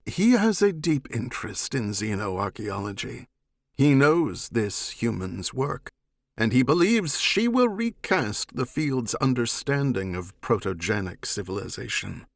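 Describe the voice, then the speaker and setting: A man voicing a cool, evasive alien oath-bound hunter with a measured, formal manner in a science fiction audiobook. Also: medium pitch, sing-song, vocal fry, no echo, studio quality, heavily acted. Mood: explanatory.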